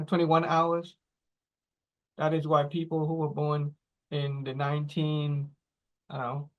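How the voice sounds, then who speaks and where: A man in his forties giving a teaching talk, explaining slowly in short phrases and checking in with "right?" and "okay?". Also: fairly high pitch, muffled recording. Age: 40s. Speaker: speaker.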